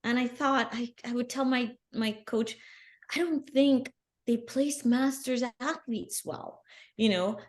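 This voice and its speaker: Woman, middle-aged, podcast guest, earnest, animated storytelling, casual interview. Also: a little high, slow pace. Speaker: guest, bikini athlete.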